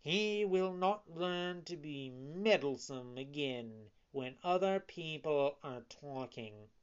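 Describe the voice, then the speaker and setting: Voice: medium pitch, expressive, takes it slowly, clean tone, dull audio. A narrator voicing a sly, ruthless female cat in a children's story, cool and falsely pitiful. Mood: coolly menacing.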